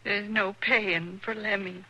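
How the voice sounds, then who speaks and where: A woman's voice: medium pitch, steady tone, slow pace, bright, forceful tone, poor audio. An actress playing a grieving, bitter frontier mother in a 1950s radio western, with rustic, pleading speech. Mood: bitter grief.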